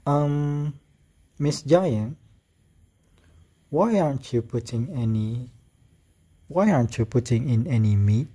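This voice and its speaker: Man playing slow, mellow boy Jack. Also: medium pitch, lively intonation, slightly rough. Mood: puzzled. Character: mischievous, polite young boy Jack. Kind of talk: amateur radio drama acting.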